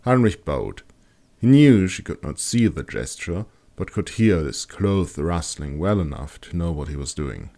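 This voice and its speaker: A man's voice: low-pitched, sing-song, a little slow, creaky, mellow. A man reading a scripted fantasy story as an audiobook narrator. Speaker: author narrating own audiobook.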